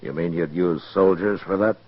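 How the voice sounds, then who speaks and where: An American actor playing a steady, plain-spoken frontier lawman in a 1950s radio western. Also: deep voice, normal speed, slightly rough, no room sound. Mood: measured, firm. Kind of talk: 1950s radio western acting and first-person narration. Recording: muffled vintage radio recording with music.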